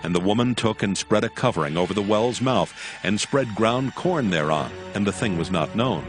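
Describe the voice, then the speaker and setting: A man with a somewhat deep voice narrates scripture. His scripted storytelling is measured and solemn. Soft music sits faintly behind the voice. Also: lively intonation.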